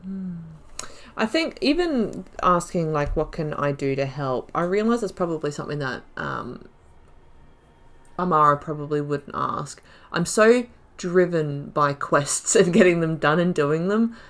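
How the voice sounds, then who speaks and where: A woman's voice: low voice, expressive, unhurried. A woman hosting a casual let's play, chatting along and reading dialogue options aloud. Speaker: gaming video host.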